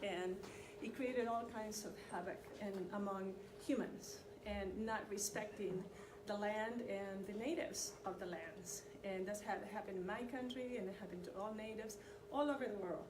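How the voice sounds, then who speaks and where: A woman's voice: medium pitch, normal speed, hardly any pauses. Woman lecturing in an echoey room. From Chile. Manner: conversational, often checks in with the audience. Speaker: community presenter on immigration history. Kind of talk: informal lecture to an audience. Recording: echoey room, distant mic, background noise.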